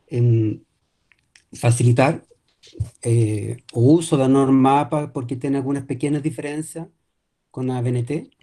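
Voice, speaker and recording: A man's voice: medium pitch, dark tone. A man from Chile with a Chilean accent, a native Spanish speaker, giving an online academic lecture over a video call with many hesitations and restarts. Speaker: guest lecturer.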